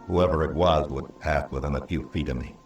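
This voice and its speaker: A weary frontier marshal with an extremely low voice. He talks in terse, measured, sardonic lines in old-time radio western style. The recording is roomy and distant. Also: faint music behind. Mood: somber narrating. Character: stern, weary Old West lawman, male marshal.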